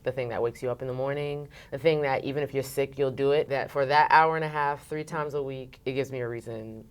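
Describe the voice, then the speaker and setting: A woman's voice: a bass voice, fairly even intonation, fairly quick, smooth. A woman chatting casually and expressively as an interview guest, with slangy turns of phrase. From Brooklyn, New York. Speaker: interview guest, radio host.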